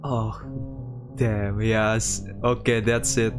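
A streamer giving casual, halting commentary while playing a visual novel and reading it aloud. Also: mid-range voice, flat delivery. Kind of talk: casual game commentary mixed with read-aloud dialogue. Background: guitar music from the game.

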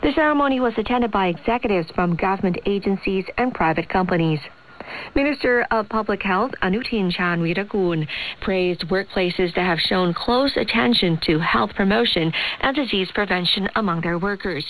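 A woman's voice: medium pitch, moderate pace. Female newsreader, mid-range voice, scripted bulletin, shortwave radio reception. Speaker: radio news presenter. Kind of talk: scripted news reading. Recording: noisy shortwave radio broadcast.

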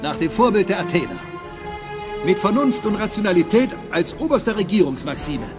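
Secret society founder, visionary, solemn proclaiming delivery, high voice, lively swinging intonation. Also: male voice, fairly quick. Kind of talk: documentary reenactment voice. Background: music.

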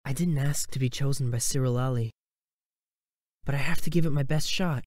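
A man's voice: mid-range voice, a little fast, a little mellow, no room sound, natural voice. A man voicing an earnest, determined young adventurer for a fantasy game, in a plain manner. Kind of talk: fantasy character voice demo.

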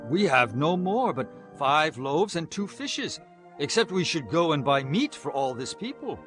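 A doubtful disciple in a Bible audio drama. His fairly high voice moves expressively up and down, with faint music underneath. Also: very animated, a little slow, fairly bright tone. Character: doubtful male disciple reporting to his master.

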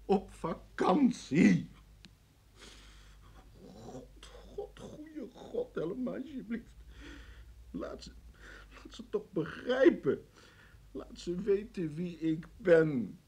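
A frantic man under arrest in a radio drama. His high, husky voice leaps about as he stammers and pleads, desperate to be believed. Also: very animated, drawn-out words, pauses often, mellow, slight background noise, exaggerated voice. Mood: desperate, pleading. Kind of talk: radio play acting.

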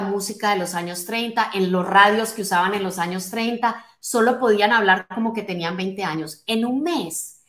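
Woman in her late forties, middle-pitched voice, emphatic motivational coach, direct address, roomy echoing sound. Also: fairly bright tone. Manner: emphatic, direct address with rhetorical questions and check-in tags. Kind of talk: motivational talk to an online live audience. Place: small home office, indoors.

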